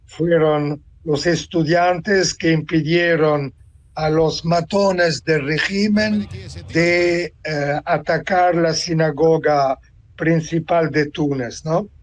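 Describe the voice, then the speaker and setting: A man in his seventies from Tunisia, giving a reflective personal account as an interview guest, deliberate and pausing to find words. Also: fairly high pitch, fairly even intonation. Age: 70s. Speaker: interview guest, author and translator.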